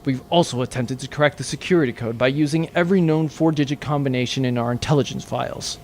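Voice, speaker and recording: A man's voice: medium pitch, quick pace, darkish tone, a little hiss or hum, pitched below the performer's own voice, close to an everyday voice. A man playing a deferential commando giving clipped, businesslike reports in a comic audio drama. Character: deferential male commando reporting to his villain boss.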